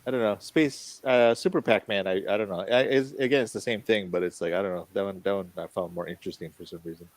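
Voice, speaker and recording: A middle-aged man with a fairly low voice talks casually among friends. He speaks informally and throws in animated exclamations. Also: fast talker, few pauses. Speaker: podcast co-host. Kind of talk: casual chat.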